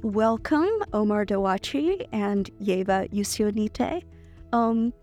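A woman's voice: mid-range voice, slow, darkish tone, soft background music. A woman co-hosting a podcast who asks friendly, plain questions of her guests. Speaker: podcast co-host. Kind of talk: podcast interviewing.

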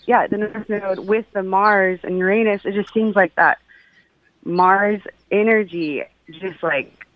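A middle-aged woman speaking reflectively and personally, haltingly searching for her words over a call. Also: mid-range voice, fairly even intonation. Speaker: guest giving feedback on an astrology reading. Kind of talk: personal, reflective casual talk. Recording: phone-like call with some echo.

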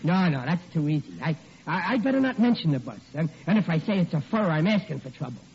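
An actor playing a flustered, blustery, stammering working-class husband in a vintage radio sitcom. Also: male voice, on the high side, animated, quick pace. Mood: blustering, defensive. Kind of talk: 1940s radio sitcom acting. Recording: muffled, noisy old radio recording.

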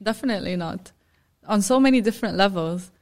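A woman guest on a podcast interview, speaking thoughtfully with hesitant pauses and fillers. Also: medium pitch, darkish tone. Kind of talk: casual interview chat.

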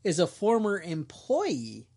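Man, high voice, podcast co-host, casual storytelling, wisecracking. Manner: wisecracking, lively, puts on quick character voices.

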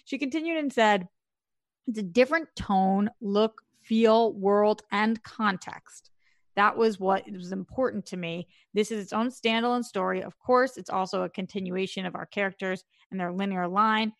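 A woman's voice: medium pitch, moderate pace, dry recording, studio quality. A woman co-hosting an entertainment news podcast, chatting casually and reading quotes aloud with frequent fillers. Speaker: podcast co-host.

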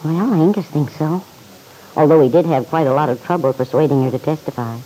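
Actor as kindly, mellow, measured confidant. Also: male voice, fairly high pitch, natural voice, low-quality recording. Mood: concerned, kindly. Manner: gentle, measured, sympathetic. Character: kindly, earnest, reasonable confidant in a courtroom. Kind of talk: old-time radio drama acting.

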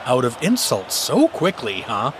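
A man's voice: medium pitch, wildly swooping pitch, measured pace, noisy background. An actor playing an anxious but defiant everyman hero in a fantasy audio drama, with a nervous, wry delivery.

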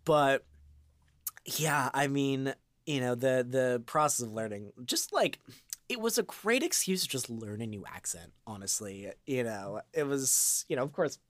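A man's voice: medium pitch, lively intonation, measured pace, a bit bright, dry recording. Man in a dry-sounding, rambling casual interview. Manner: hesitant and rambling, often trailing into asides. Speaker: voice actor, interview guest. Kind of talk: casual, unscripted interview chat.

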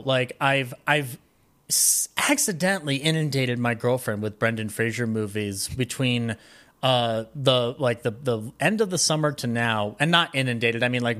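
An American man with a medium-pitched voice talks as a guest on a podcast. His delivery is casual and conversational, with the rambling enthusiasm of a devoted film buff. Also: fairly bright tone. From Colorado, United States. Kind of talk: casual interview chat about films and his life.

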